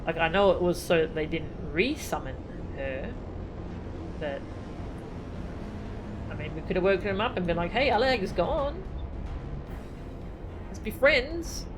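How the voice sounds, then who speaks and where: A woman's voice: lowish voice, low-quality recording. A woman streaming a video game, giving chatty, wry reactions to the story. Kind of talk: casual live-stream commentary. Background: game music.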